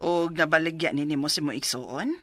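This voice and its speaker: An actress with a Cebuano Filipino accent playing a brisk, firm land officer in a radio drama. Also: female voice, low voice, fairly bright tone, slightly rough, no echo. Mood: questioning. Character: brisk, firm female government land officer questioning parties.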